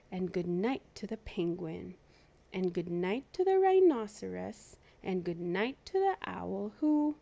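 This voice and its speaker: A woman reads a picture book aloud to young children. Her voice is soft-edged and mellow, and she takes her time over each phrase. She narrates expressively, as the host of a storytime. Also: medium pitch. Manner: clear storytelling with animated asides to young listeners.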